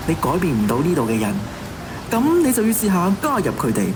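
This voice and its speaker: Man acting animated, cynical Cantonese serial killer. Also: on the high side, fast, background noise. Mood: cynical, preaching. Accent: Hong Kong Cantonese. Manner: theatrical taunting monologue with scornful laughs. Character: unrepentant male serial killer, self-styled executioner, cynical, fanatical. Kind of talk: radio drama acting.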